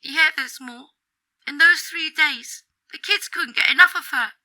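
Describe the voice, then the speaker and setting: A woman voicing a distraught young female school worker in an audio horror story, halting, stammering and tearfully confiding. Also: sits high, sharp tone, pitched above the performer's own voice, heavily acted. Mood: horrified confiding. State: tearful, upset.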